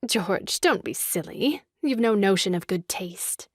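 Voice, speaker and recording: An actress playing a kind-hearted, girlish young woman in a stage farce, speaking in a gentle, coaxing way. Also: medium pitch, lively intonation, unhurried, no room sound, studio quality. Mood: mild reproach. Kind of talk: stage farce audio drama acting.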